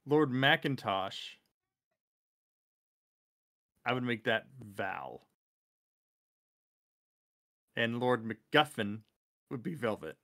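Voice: mid-range voice, expressive, slow pace, pauses often, a bit bright. A livestream co-host chatting casually in an informal, sweary way.

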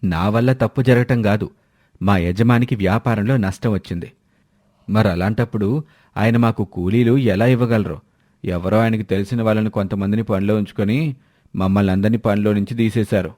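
A man plays an ambitious village husband in a storybook cartoon, low in pitch and persuasive. He reasons and coaxes, and the sound is dry. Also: moderate pace, a little mellow, dry recording, natural voice. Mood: troubled. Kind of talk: animated moral-story dialogue.

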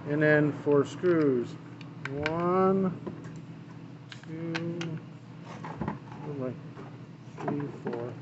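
A man's voice: a little high, extremely slow, pauses often, highs cut off. Man explaining repairs in a noisy workshop. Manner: casual, talks while working. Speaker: small engine mechanic. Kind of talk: hands-on repair tutorial. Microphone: camera mic, speaker off-frame. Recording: noisy workshop, engine running. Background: engine and shop noise.

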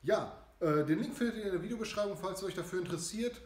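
Young guy in his garage chatting about car care, husky voice, kinda high pitched, lots of ums. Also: quick pace. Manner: relaxed, chatty, many fillers. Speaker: car care channel host. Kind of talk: casual product review. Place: small home garage, indoors.

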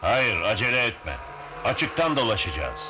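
An actor playing a calm, kindly ship's captain in a dubbed cartoon, authoritative and measured. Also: male voice, fairly low pitch. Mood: watchful. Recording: noisy, lo-fi cartoon soundtrack.

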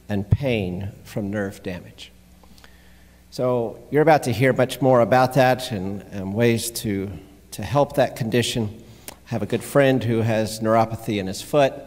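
Male pastor, middle-aged, mid-pitched, reflective and halting sermon delivery, long church reverb. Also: a little mellow. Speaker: pastor giving a devotional. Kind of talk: sermon with prayer and scripture reading. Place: large church sanctuary, at the pulpit. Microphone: gooseneck pulpit microphone.

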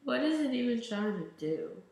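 A man's voice: extremely high pitch, big pitch swings, normal speed, gravelly, a little mellow. A young man hosting a gaming channel, chatting casually over gameplay in a rambling way and saying he is losing his voice. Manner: rambling, chatty, talks to viewers. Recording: very echoey room.

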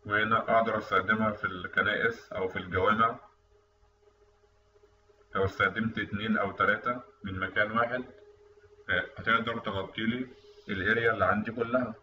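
A man with an Egyptian Arabic accent giving a technical lecture over a screen recording, explaining in a quick, conversational way. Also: lowish voice, steady tone, sharp tone. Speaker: instructor. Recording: distant, dull, echoey room mic with faint noise.

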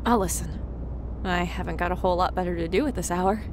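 A woman's voice: on the low side, fast, background noise, lightly acted. A woman voicing a plucky, stubborn young mare pony in an audiobook drama, earnest and emphatic. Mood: gentle, wry. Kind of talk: audiobook character acting. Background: music.

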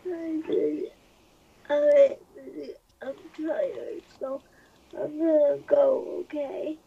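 Woman acting a high, pleading, clingy girlfriend. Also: steady tone, slow pace, gravelly, heavily acted. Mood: tired. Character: whiny, tired, clingy girlfriend wanting cuddles. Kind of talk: ASMR couple roleplay. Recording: dull playback with faint noise.

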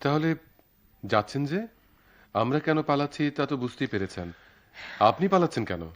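A reassuring young lover performed by a man in a Bangladeshi radio play. He explains things patiently in a medium-pitched voice with a theatrical delivery. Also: lively intonation, moderate pace, lightly acted. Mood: hesitant, wary. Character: reassuring young man eloping with his sweetheart. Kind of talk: Bangladeshi radio drama acting.

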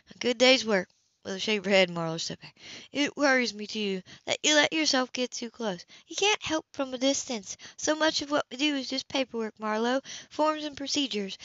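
Woman, amateur audiobook reader, bright tense tone, dull dry recording, occasional slips. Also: high-pitched, no room sound. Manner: reads with frequent slips and restarts. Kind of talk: amateur novel reading.

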